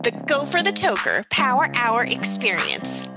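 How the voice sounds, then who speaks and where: Woman as an animated radio promo announcer. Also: on the high side, a little slow, loud music behind the voice, heavily acted. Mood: upbeat.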